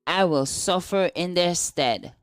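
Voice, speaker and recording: Slow-paced woman reading a devotional. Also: lowish voice, expressive, no room sound, studio quality. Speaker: devotional reader.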